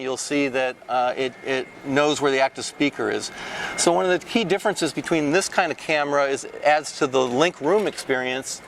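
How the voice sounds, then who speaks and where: A man in his fifties giving an informal, explanatory product demonstration as a company representative, with halting delivery and frequent false starts. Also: fairly high pitch, fairly bright tone. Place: large indoor exhibition hall booth. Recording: clear ambient trade show floor noise.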